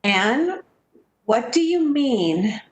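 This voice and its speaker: Actress as a high-voiced, protective, worried friend. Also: female voice, very animated, drawn-out words, full character voice. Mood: disbelieving. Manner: urgent, firm, theatrical. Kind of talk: 1940s-style radio drama acting.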